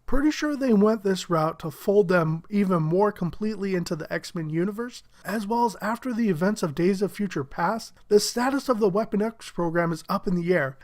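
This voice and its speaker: Upbeat man with a head cold. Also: high-pitched. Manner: upbeat, enthusiastic, chuckles often. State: head cold, slightly muffled. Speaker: movie reviewer. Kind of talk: casual spoken movie review.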